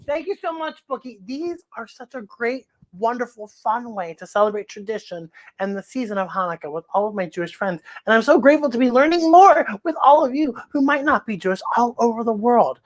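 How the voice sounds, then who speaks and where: Animated woman hosting children's story time. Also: fairly high pitch, a little fast, few pauses, low-quality recording. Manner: exclamatory, gushing, repeats phrases. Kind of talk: read-aloud storytelling for children.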